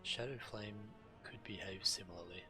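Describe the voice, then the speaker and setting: Male actor as grave fantasy shaman, low voice, measured, deliberate, resourceful, video game, music behind. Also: natural voice. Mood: grave, calculating.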